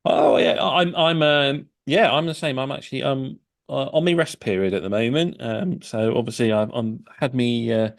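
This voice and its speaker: A man's voice: medium pitch, normal speed, creaky. A middle-aged man hosting a casual podcast, chatty and hesitant, with many fillers and restarts. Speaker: podcast host.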